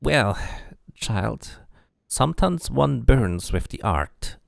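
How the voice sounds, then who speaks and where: Man as old witch woman, fantasy game character, pitched-up voice, folksy archaic dialect, weary, knowing, swooping intonation. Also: big pitch swings, takes it slowly, gravelly, dry recording, clean studio sound, exaggerated voice. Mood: musing, knowing.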